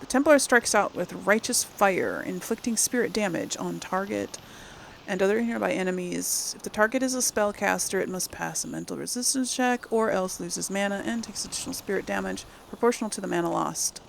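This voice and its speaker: A woman with a creaky, mid-pitched voice talks through her game in a casual, chatty way. She thinks aloud as she goes. Some background noise sits behind her. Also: animated, fairly quick. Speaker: gaming channel host playing through a game. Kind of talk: casual let's-play commentary.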